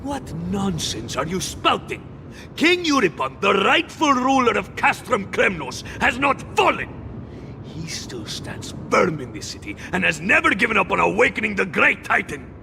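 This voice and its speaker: An actor playing a fierce, contemptuous loyalist warrior in a video game, gravelly and declamatory. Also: male voice, high voice, very animated, moderate pace, bright, forceful tone, slight background noise, heavily acted. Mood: scornful. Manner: declamatory, scornful.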